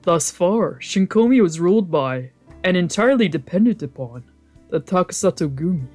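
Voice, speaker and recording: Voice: medium pitch, lively intonation, moderate pace, darkish tone, faint music behind. A streamer of a visual novel reading the text aloud and adding casual, wry asides.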